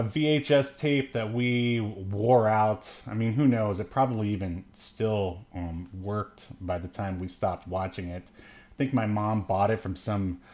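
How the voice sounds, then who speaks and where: A man's voice: lowish voice, darkish tone. A man around his thirties or forties chats casually as a podcast co-host, joking and meandering through his thoughts. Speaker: podcast co-host and film reviewer. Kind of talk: casual unscripted film discussion.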